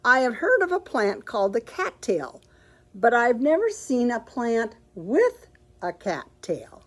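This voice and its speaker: A cheerful, innocent storybook housekeeper who takes every word literally, voiced by a woman reading aloud. She speaks up higher and louder than the storyteller's own voice, with a lively, swooping pitch. Her delivery is earnest and emphatic, as if explaining something obvious. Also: measured pace. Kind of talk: picture book read aloud.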